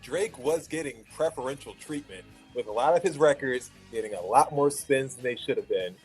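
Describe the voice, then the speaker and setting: A man's voice: medium pitch, lively intonation, normal speed, music in the background, rough recording. A man hosting an opinionated panel debate, blunt and needling in the way he talks. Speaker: host of a hip-hop debate show.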